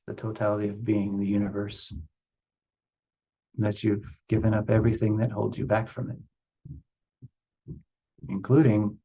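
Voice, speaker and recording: A low-voiced man speaks as a spiritual teacher in a reflective meditation session. His voice is mellow and a little husky, and he moves through ideas in deliberate, halting phrases, pausing for emphasis. The recording is somewhat dull.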